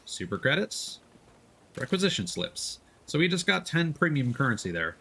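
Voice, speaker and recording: A man's voice: on the high side, very animated, moderate pace, sharp tone, breathy edge. A man streaming a video game, explaining it casually as he plays in an easygoing way. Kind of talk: casual gameplay commentary, explaining as they play. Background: video game sound.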